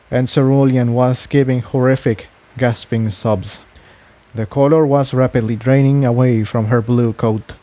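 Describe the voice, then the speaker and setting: A man's voice: mid-range voice, mellow. A man reading a scripted story aloud as its narrator. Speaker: fan fiction narrator.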